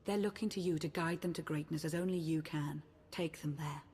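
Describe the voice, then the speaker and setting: A confident female advisor speaks in a husky, slightly low voice. She is brisk and encouraging with a teasing touch, in game cutscene style. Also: fairly even intonation, a little mellow. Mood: assured, teasing.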